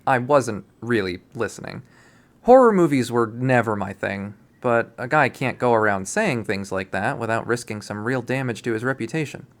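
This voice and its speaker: A man reading a visual novel aloud as first-person narration, in a casual, expressive style. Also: medium pitch, moderate pace. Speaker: visual novel readthrough host.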